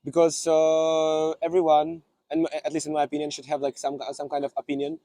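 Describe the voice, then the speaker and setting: Young man, student guest; mellow tone, dry and close, halting. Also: fairly high pitch, monotone, no echo. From Kyrgyzstan. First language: Russian. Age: young adult. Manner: hesitant, many fillers and restarts. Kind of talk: casual conversation. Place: outdoor city park.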